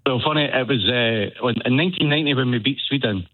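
A Scottish man in his forties calling a radio show by phone, excited and rambling with many restarts. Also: mid-range voice, quick pace, slightly rough, no echo. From Scotland. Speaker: caller. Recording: phone line.

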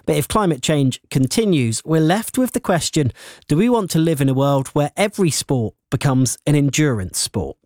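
Male reporter, slightly high voice, dry close sound, wry conversational read. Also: animated, normal speed, a little mellow, no room sound. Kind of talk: scripted report narration.

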